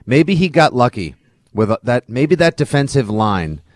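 A man's voice: medium pitch, very animated, normal speed, a little mellow, no room sound, studio quality. A man co-hosting a sports podcast in casual banter, with a wry, sarcastic way of talking.

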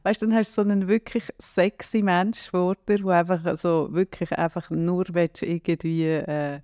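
A man's voice: high voice, little pitch movement, moderate pace, darkish tone, clear, resonant tone, no room sound, studio quality. A man in his late forties with a Swiss German accent, hosting a podcast in a casual, teasing chat and laughing often. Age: 40s. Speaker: podcast host.

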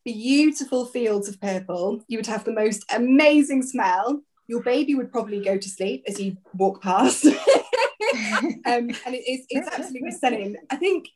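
A woman's voice: fairly high pitch, expressive, moderate pace, a little mellow, a little hiss or hum. A woman from South Wales, a guest on a podcast, chatting cheerfully over a video call with plenty of ums. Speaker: guest, job-sharing coach. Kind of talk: casual interview chat.

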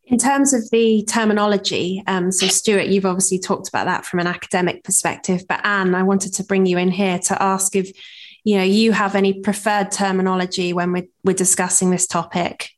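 A woman's voice: mid-range voice, steady tone, brisk. A woman moderating a panel discussion, politely passing questions from one guest to the next.

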